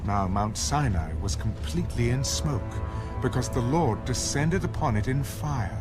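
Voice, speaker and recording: A man narrating a dramatized scripture reading in a scripted storytelling style. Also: mid-range voice. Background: loud music.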